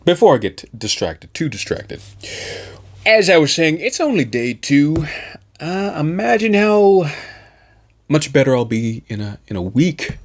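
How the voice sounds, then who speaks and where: Man with a lively, swinging pitch. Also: unhurried. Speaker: amateur audiobook reader and channel host. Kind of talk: narrated novel reading and casual vlog chat.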